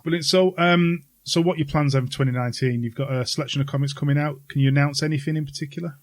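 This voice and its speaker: A man's voice: fairly high pitch, steady tone, racing. A man hosting a podcast in casual conversation, with a chummy, enthusiastic way of talking. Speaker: podcast host. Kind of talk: casual chat.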